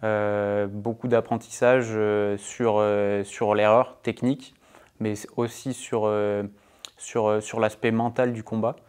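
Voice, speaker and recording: A young man in his twenties answers interview questions about his sport. His voice sits a little low. He pauses often and fills the gaps with hesitations. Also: flat delivery, a little mellow, clear, resonant tone. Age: 20s. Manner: hesitant, many fillers. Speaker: para-judo athlete.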